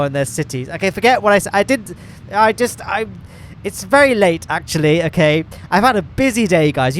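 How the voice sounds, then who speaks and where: English male gaming host, a bit high, nasal and stuffy, loose rambling commentary. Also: a little hiss or hum. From England. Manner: rambling, self-deprecating, lots of fillers and restarts. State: congested from hay fever. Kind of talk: chatty gameplay commentary.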